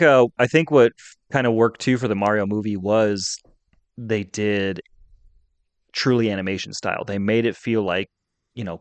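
A man's voice: fairly low pitch, no room sound. A middle-aged man talking casually and conversationally as the co-host of a podcast.

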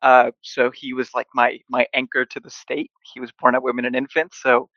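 A man's voice: mid-range voice, flat delivery, moderate pace, a bit bright, no echo, lo-fi sound. A young man from Mexico, a guest on a podcast, talking in a friendly, joking way over a video call from home. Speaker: podcast guest, agency founder. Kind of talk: casual interview. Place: home, recording remotely.

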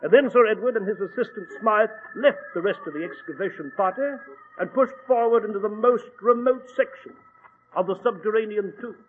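A male actor playing a genial English gentleman doctor recounting his memoirs in a 1940s radio drama, with a British accent and courtly manner. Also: high-pitched, close to an everyday voice. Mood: genial storytelling. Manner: courtly, measured storyteller. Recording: noisy, tinny old radio recording.

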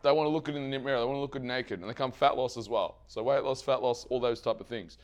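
A man losing his voice gives a lively fitness seminar to trainers and keeps asking the room questions. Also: mid-range voice, fast. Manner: energetic, asks the audience questions and answers them himself; swears casually. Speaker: fitness coach presenting to trainers. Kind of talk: live seminar presentation.

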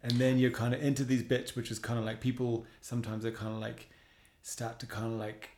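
A man's voice: medium pitch, flat delivery, brisk, darkish tone. Rambling man co-host, echoey room. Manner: rambling, hesitant, self-conscious. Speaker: podcast co-host. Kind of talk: podcast conversation.

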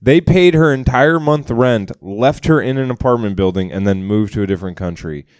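A man's voice: mid-range voice, moderate pace, a little mellow, no room sound, clean studio sound. A man co-hosting a live comedy podcast on a theater stage, joking, swearing and laughing often. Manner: jokey, laughs a lot, swears casually. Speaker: podcast co-host.

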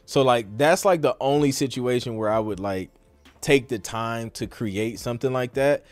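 A man's voice: mid-range voice, moderate pace, dry recording. A man hosting a podcast, answering questions in a casual, rambling way, thinking aloud with lots of filler phrases. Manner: rambling, thinking aloud, frequent "like" and "you know". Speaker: podcast host. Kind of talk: casual live Q and A talk, reading and answering questions.

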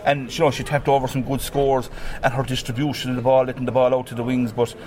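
Irish guy rattling thru a post-match chat, flat tone, loads of you-knows. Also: mid-range voice, a little hiss or hum. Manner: rapid, filler-heavy, flat delivery. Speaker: team manager. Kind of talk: post-match radio interview.

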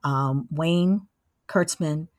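Interview guest: older female, low-pitched, relaxed conversational storytelling, very little room sound. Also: extremely slow, dry recording, clean studio sound. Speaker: interview guest, former college radio engineer. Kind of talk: casual reminiscing.

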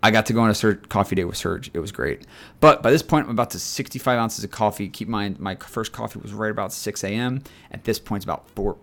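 Man, deep and scratchy-voiced, casual rambling co-host type. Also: fast talker, creaky, a bit bright. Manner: rambling, chatty storytelling. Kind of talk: casual chat.

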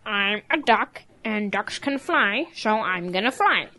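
A woman's voice: medium pitch, expressive, slow, pushed and bright. A woman playing a stubborn, childlike young calf who insists on being a duck, in a children's audio drama.

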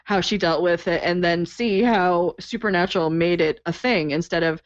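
A woman's voice: fairly low pitch, moderate pace, no room sound, lo-fi sound. A woman in her forties co-hosting a podcast, chatty and enthusiastic, laughing easily. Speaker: podcast co-host. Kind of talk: casual podcast chat.

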